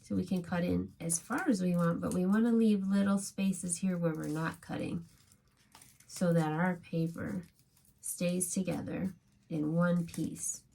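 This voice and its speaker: A woman's voice: lowish voice, fairly even intonation, a little slow, creaky, mellow. A woman teaching a craft step by step to young children, speaking as a preschool teacher in simple, encouraging phrases.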